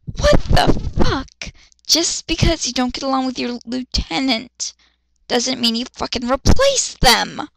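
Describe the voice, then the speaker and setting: A woman's voice: sits high, sing-song, measured pace, gravelly, noisy background. A woman reading fan fiction dramatically and commenting on it in a blunt, exasperated, sarcastic manner. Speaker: reader and commentator.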